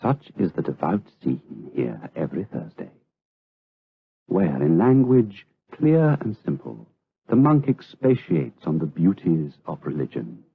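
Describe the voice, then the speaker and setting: A man playing a solemn, rapt narrator in a gothic audio drama, speaking in a grave, measured, ornate way. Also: low voice, huge pitch swings, vocal fry, slight background noise, exaggerated voice. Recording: muffled narrow-band sound with music behind.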